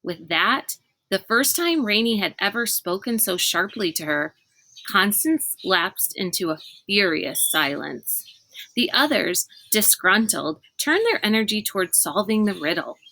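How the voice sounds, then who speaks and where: A woman reading a children's book aloud as an expressive narrator. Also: medium pitch, measured pace, dry recording, poor audio. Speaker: read-aloud reader.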